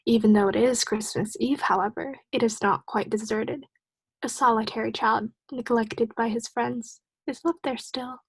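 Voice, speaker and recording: A woman playing a calm, otherworldly guiding spirit in a radio play, speaking in a breathy half-whisper. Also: a little high, no echo. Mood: gently probing. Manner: slow, solemn guiding.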